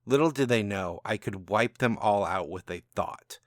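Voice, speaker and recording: A man's voice: fairly low pitch, normal speed, no echo, studio quality, lightly acted. A man reading a storybook tale as a cocky, irreverent and powerful rogue wizard, brash and conspiratorial. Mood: smug, bitter. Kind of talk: first-person storybook narration.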